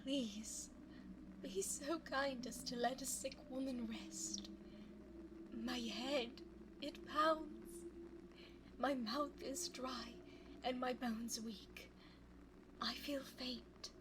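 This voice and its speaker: Casting: woman playing a scheming immortal vampiress for ASMR roleplay; high, breathy, slow, formal and teasing. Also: background noise. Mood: feigned frailty, pleading. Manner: formal, coy, teasing, drawn-out phrasing. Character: seductive, scheming immortal female vampire, falsely frail. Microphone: close binaural microphone.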